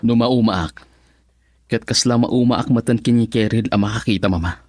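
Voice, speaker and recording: A man's voice: lowish voice, normal speed, a little mellow, no echo, clean studio sound, lightly acted. A man with an Ilocano Filipino accent playing a stubborn, exasperated young son who protests earnestly in a radio drama. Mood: puzzled, reluctant.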